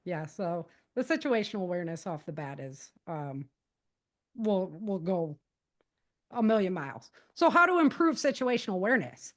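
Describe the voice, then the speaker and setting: A woman's voice: on the low side, sing-song, moderate pace. A woman speaking as a podcast guest, giving practical advice in a casual, conversational way and explaining things with animation.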